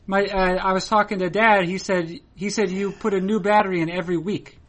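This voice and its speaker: A man hosting a podcast, talking in a dry, wordy, formal deadpan. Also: high voice, a little fast, poor audio. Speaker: podcast host. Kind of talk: deadpan scripted intro and ad read.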